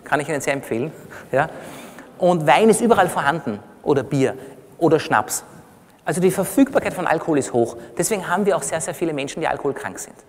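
A man's voice: on the high side, lively intonation, fast. Austrian man lecturing in a reverberant hall. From Austria, born in Iran. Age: middle-aged. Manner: didactic, checks in with short tag questions. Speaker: physician giving a lecture. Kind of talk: public lecture, explanatory and conversational. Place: on stage in a large congress hall.

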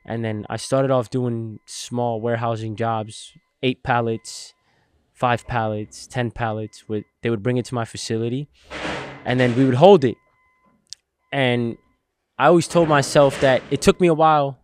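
A man's voice: mid-range voice, measured pace, a little hiss or hum. A man in his twenties, a guest from New Jersey, steadily telling his business story in an interview, recorded on a podcast mic in a warehouse set. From New Jersey, United States. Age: 20s. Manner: earnest, steady storytelling with fillers. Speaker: guest, logistics entrepreneur. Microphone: boom-mounted podcast mic.

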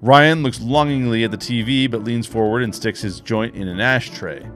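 A man in his forties reading screenplay action lines in a measured, wry way into a studio microphone in a home podcast studio. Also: medium pitch, fairly bright tone, soft background music. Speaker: host and narrator. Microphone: studio microphone with headphones.

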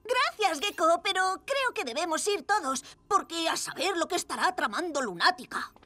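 Confident, high-voiced boy superhero. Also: expressive, normal speed, sharp tone, heavily acted. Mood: determined. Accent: Castilian Spanish. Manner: confident, commanding. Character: bold, decisive young boy superhero in cat costume. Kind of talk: animated cartoon dub.

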